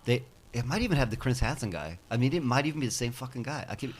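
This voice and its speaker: A man in his fifties talks on a podcast in a loose, rambling way and swears freely. His voice rises and falls widely as he speaks, at a middling pitch. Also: animated, fast talker, husky. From United States. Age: 50s. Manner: loose, rambling, profane storytelling. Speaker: podcast guest. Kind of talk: casual chat.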